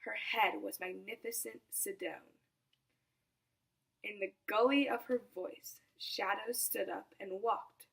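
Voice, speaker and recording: A woman's voice: mid-range voice, steady tone, slow, creaky, a bit bright, far from the mic, rough recording. A teenage female student reading a poem aloud in a recitation style. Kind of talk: poetry recitation.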